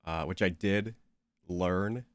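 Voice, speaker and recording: A man's voice: sits low, slow pace, dry recording, studio quality. A young man with a stuffy, slightly nasal voice, chatting casually and rambling with lots of fillers while streaming a game. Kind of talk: casual chat while gaming.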